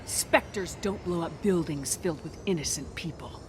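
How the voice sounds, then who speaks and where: A woman's voice: low voice, normal speed, darkish tone, a little hiss or hum, close to an everyday voice. A woman playing a bold, sardonic, commanding space-marine commander in a cinematic video game. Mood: cool, resolute. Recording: music behind the voice.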